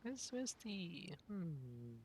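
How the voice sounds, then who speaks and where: A man's voice: high voice, very animated, drawn-out words, a little hiss or hum. A man giving casual gameplay commentary as a streamer, muttering offhandedly to himself over a game. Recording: loud game music behind voice. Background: loud video game music.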